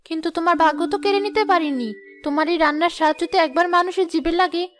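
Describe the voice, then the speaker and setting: A woman's voice: extremely high pitch, fast, fairly bright tone, faint music behind. A woman playing a devoted, determined young wife in a cartoon drama, poor and encouraging. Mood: urging. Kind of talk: Bengali cartoon drama voice acting.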